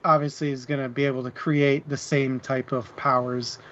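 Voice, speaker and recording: A man's voice: mid-range voice, flat delivery, normal speed, a bit bright, lo-fi sound, a little hiss or hum. A man co-hosting a casual book discussion, speaking informally and hesitantly as he searches for words. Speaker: book club podcast co-host.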